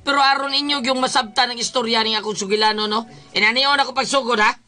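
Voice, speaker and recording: Bright, exasperated Filipino husband. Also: male voice, extremely high pitch, fairly even intonation, quick pace, exaggerated voice. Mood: weary complaint. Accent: Filipino Cebuano. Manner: exasperated, complaining. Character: long-suffering, grumbling, hungry husband of a spendthrift wife. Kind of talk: radio drama acting.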